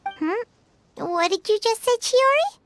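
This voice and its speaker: A woman voicing a small, perky, naive fairy companion in a video game, with a squeaky childlike voice and excitable manner. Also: a little slow. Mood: puzzled, alarmed. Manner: excitable, speaks of self in third person. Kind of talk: animated video game voice acting. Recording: loud background music.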